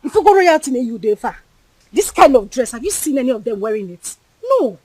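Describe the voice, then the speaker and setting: A woman's voice: high-pitched, very animated, fairly quick, darkish tone, breathy edge, no room sound, exaggerated voice, poor audio. A young woman playing a king's abandoned daughter, tearful and defiant. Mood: tearful, pleading.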